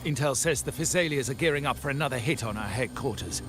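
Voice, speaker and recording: A man playing a serious, measured mafia family lieutenant in a video game, speaking firmly. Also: mid-range voice, noisy background, close to an everyday voice. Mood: grave, indignant.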